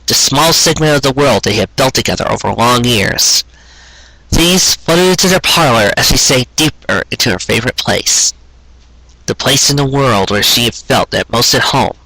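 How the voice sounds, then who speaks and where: Husky man reading a romantic story. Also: mid-range voice, lively intonation, moderate pace, bright, forceful tone, no echo, poor audio. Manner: earnest, sentimental storytelling. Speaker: narrator reading fan fiction. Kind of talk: scripted story narration.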